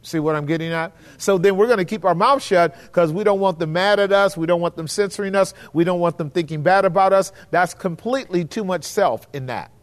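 A man's voice: sits high, fairly quick, few pauses. A man leading a church Bible study, teaching in an earnest, emphatic preacherly way.

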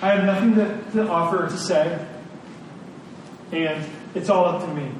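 High-voiced man preaching in echoey room. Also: normal speed. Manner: earnest, informal, emphatic repetition. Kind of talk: conversational sermon. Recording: very echoey room, distant mic, clear noise.

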